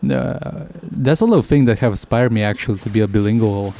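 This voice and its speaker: Mellow-voiced man rambling on a game stream. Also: lowish voice, gravelly. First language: Russian. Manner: rambling, halting, jokey. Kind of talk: casual game livestream commentary.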